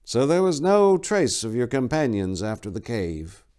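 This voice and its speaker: A man voicing an aged, suspicious monastery abbot with a stern, probing, measured manner in a fantasy audiobook. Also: mid-range voice, sing-song, warm tone, clear, resonant tone, voice pushed up. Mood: questioning, puzzled. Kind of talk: dramatic fantasy audiobook reading.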